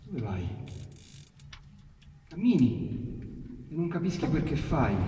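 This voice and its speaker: Roman guy doing dramatic poetry storytelling, kinda high voice, far from the mic in a boomy hall. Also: warm tone, slight background noise. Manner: dramatic, with pauses and emphatic repetitions. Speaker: actor reciting dialect poetry. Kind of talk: theatrical storytelling recitation of verse. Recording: echoey hall, distant mic, piano accompaniment. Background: piano music.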